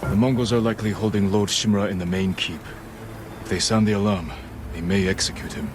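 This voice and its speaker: An actor playing a determined samurai lord in a video game, earnest, restrained and commanding. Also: male voice, on the low side, steady tone, a little fast, noisy background, close to an everyday voice. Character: solemn, determined samurai lord leading warriors. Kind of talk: video game cutscene acting.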